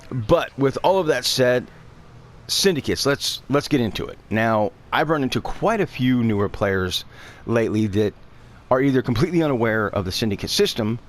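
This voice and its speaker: A man with a stuffy voice from allergies, talking through a game guide in a casual, rambling way that is full of fillers and self-corrections. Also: medium pitch. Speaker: gaming guide creator. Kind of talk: casual game tutorial narration.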